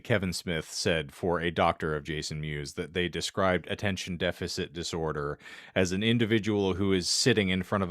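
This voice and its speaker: A man's voice: low voice, a bit bright, dry recording, studio quality. A man co-hosting a podcast, chatting casually about books in wordy, florid phrasing. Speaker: podcast co-host. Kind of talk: casual book chat.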